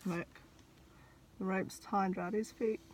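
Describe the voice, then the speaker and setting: A woman reading a children's picture book like a teacher, expressive, with dramatic pauses, over background noise. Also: mid-range voice. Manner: dramatic pauses, addresses young listeners.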